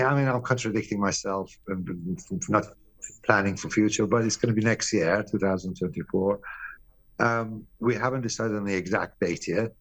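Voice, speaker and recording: A man's voice: on the low side, dry recording, low-quality recording. Blunt, candid man, born in Iran. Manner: candid, blunt, swears casually; hesitant, restarts often. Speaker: painter, interview guest. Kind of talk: reflective interview answers.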